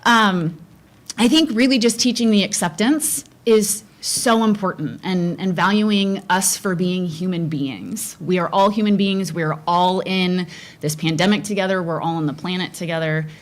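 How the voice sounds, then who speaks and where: A woman's voice: on the low side, normal speed. A middle-aged woman, a school board candidate, answering forum questions earnestly with frequent restarts.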